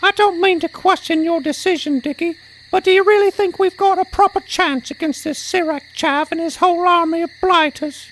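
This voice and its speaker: A woman's voice: very high-pitched, steady tone, moderate pace, slight background noise, low-quality recording. A woman voicing a small, anxious, loyal bird companion with a British accent and slangy, fretful talk in a fantasy audio drama. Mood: worried.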